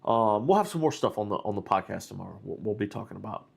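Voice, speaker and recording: A man's voice: lowish voice, animated, quick pace, gravelly, breathy edge. A man hosting a live stream, talking casually and rambling through fight analysis. He is under the weather and sounds nasal and stuffy, with plenty of fillers and restarts. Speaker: host.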